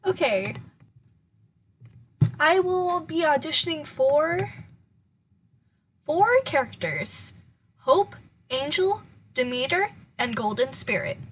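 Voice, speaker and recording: A woman's voice: high-pitched, very animated, slow, pauses often, fairly bright tone, slight background noise, rough recording. A young woman speaking casually as an amateur voice actor introducing and wrapping up an audition.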